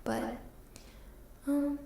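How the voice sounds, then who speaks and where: A woman streaming ASMR, chatting casually in a breathy whisper close to the microphone in an unhurried, drifting way. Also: dark tone, slight background noise. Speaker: ASMR livestreamer chatting with viewers.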